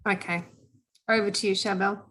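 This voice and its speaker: A woman's voice: mid-range voice, steady tone, moderate pace, fairly bright tone. A woman hosting an online meeting, informally passing on questions and comments. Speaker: meeting host, moderator.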